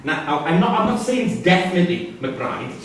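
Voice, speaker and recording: Male actor for chatty, droll convict raconteur, higher register, distant and reverberant stage recording. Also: sing-song, normal speed. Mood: dry, insinuating. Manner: droll, gossipy storytelling. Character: wry, chatty male prisoner telling anecdotes. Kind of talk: staged reading of a prison comedy-drama. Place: theatre room at a live staged reading. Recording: distant, very echoey hall, faint noise.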